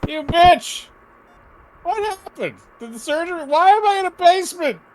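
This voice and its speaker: A man's voice: high voice, sing-song, takes it slowly, fairly bright tone, full character voice. A man playing a bewildered, incredulous patient who swears and protests in a horror game. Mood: alarmed. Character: bewildered, indignant male patient held captive.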